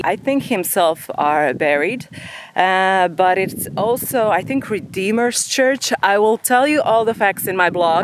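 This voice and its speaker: A woman in her thirties, a native Croatian speaker, chatting upbeat to a handheld camera at windy outdoor ruins. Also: mid-range voice. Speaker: travel vlogger. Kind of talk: casual travel vlog to camera. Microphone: handheld camera at arm's length. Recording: background music over outdoor audio.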